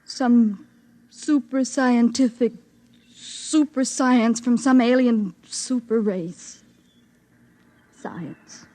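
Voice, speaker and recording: A woman's voice: a little high, drawn-out words, pauses often, warm tone. An actress playing a lonely, bitter, secretive young woman in a 1950s radio drama, with a world-weary, intense delivery. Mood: scornful, anguished.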